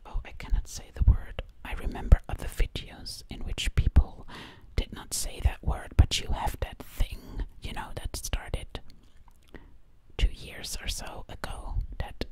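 A young woman in a breathy whisper, doing an ASMR roleplay ramble as a quirky zombie princess, close to the mic and repeating words over and over. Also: measured pace, poor audio. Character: quirky zombie princess time-traveler from the 1920s. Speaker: ASMR performer. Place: indoors, living room. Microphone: close microphone, ear to ear.

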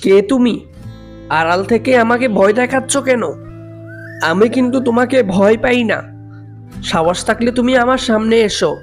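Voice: medium pitch, moderate pace, long pauses, soft background music, voice pushed up, close to an everyday voice. A cartoon performer playing a fearful villager who worries aloud and puts up bravado. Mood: defiant, frightened. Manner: muttering worries to self, nervously defiant. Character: fearful villager carrying fish home, putting up bravado. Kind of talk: Bengali ghost cartoon dialogue.

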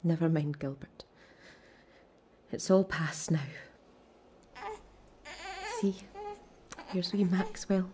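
A woman's voice: lowish voice, mellow, slight background noise. An actress with a Scottish accent playing a bitter, grieving widow and mother in a radio drama, speaking plainly and with emotion. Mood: bitter, sorrowful, then tender, grieving.

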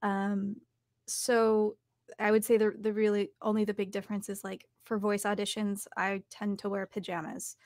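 A woman's voice: mid-range voice, little pitch movement, moderate pace, darkish tone, dry recording, studio quality. A young adult woman, talking casually and reflectively as an interview guest, thinking aloud as she goes. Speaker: interview guest, audiobook narrator and voice actor. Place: home studio, indoors.

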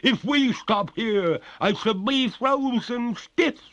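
A man's voice: extremely high pitch, lively intonation, fairly bright tone, dull audio, voice pushed up, heavily acted. A man voicing a genteel female water ghost who speaks in formal, pleading, ornate phrases. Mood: desperate pleading. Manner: formal, ornate, drawn-out speech.

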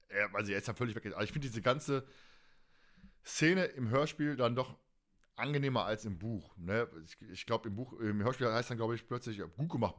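Man hosting a casual fan podcast. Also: medium pitch. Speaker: podcast host.

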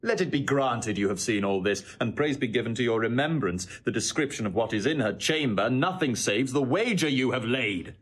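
An actor playing a proud, trusting husband open to jealous doubt, declaiming formally and earnestly in Shakespearean audio drama. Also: male voice, mid-range voice, expressive, moderate pace, few pauses, breathy edge. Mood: uneasy.

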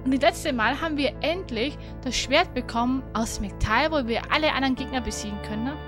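Chatty woman streaming a game w her cohost, high voice, informal, game music behind her. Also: a little fast. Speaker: gaming stream co-host. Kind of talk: casual livestream chat and game recap.

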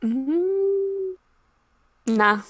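Woman playing a slow-talking, stubborn, cheeky zombie. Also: very high-pitched, very animated, exaggerated voice. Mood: cheeky, defensive. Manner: evasive, repetitive, slangy. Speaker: roleplay player. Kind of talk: improvised Minecraft roleplay.

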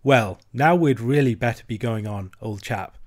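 A man voicing a kindly, brisk male water rat who speaks heartily and reassuringly. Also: mid-range voice, a little mellow, natural voice.

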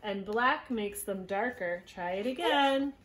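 A woman in her thirties reading a picture book aloud to young children at home, gently encouraging them and prompting with questions. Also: medium pitch, animated, slow, a little hiss or hum, poor audio. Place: small living room at home, indoors.

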